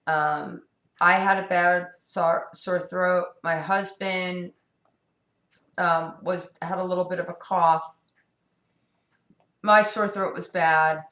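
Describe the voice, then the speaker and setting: A woman's voice: lowish voice, a bit bright. A middle-aged woman from New York with a sore, scratchy throat, talking candidly and rambling in a casual vlog. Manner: rambling, candid, frequent fillers and restarts. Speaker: vlogger. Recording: echoey room.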